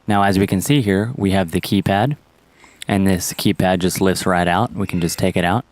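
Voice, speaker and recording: Low-voiced man giving repair instructions. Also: fairly even intonation, normal speed, no echo. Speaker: how-to presenter. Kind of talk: step-by-step repair tutorial narration.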